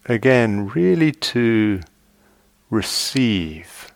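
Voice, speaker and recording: A man guiding a meditation as a teacher, speaking gently and in measured short phrases with long pauses. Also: on the low side, sing-song, gravelly, no echo. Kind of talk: guided meditation. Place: meditation retreat hall.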